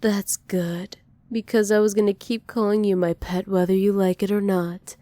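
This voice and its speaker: A woman playing a dominant, possessive villain who dotes on a captive, softly menacing and teasing in an ASMR roleplay. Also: medium pitch, little pitch movement, moderate pace, no echo, close to an everyday voice. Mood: commanding, possessive. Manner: soft, teasing menace with breathy whispered endearments.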